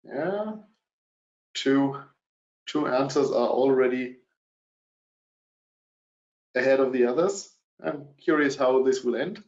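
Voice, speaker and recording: A middle-aged man with a German accent, presenting a business webinar and pausing often on filler sounds. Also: mid-range voice, a little mellow. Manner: hesitant, many fillers. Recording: dull, roomy webinar audio.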